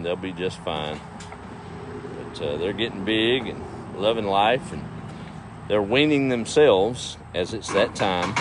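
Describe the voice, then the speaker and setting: Deep-voiced east texas farm guy, laid back drawl, chatting outside with faint noise behind. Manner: folksy drawl, talks to animals, easygoing. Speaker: farm livestream host. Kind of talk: casual livestream chat. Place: outdoors on a farm. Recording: faint outdoor background noise.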